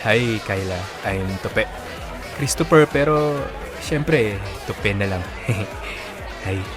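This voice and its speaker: A man's voice: lowish voice, animated, extremely slow. An actor playing an eager, awkward and easily flustered young wingman in a Taglish audio drama. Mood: nervous, polite. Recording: loud music and crowd bed behind. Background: music, crowd chatter.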